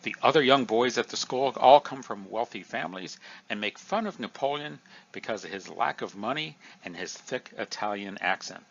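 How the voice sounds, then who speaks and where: A man teaching a recorded history review lesson, explaining in a lively, emphatic way. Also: medium pitch, normal speed, vocal fry, breathy edge, highs cut off.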